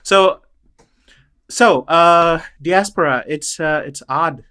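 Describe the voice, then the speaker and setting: A man with a bright, crisp, smooth voice that sits a little high. He talks in a reflective, halting way, often restarting his sentences and filling pauses with "uh" as he works through his ideas. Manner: thoughtful, halting, many restarts and fillers. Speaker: interview guest, choral director. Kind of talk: reflective interview talk.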